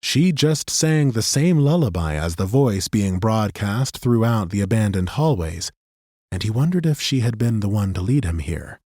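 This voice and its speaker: A man narrating a fantasy audiobook in a measured, steady storytelling manner on a close studio mic. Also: lowish voice, no echo.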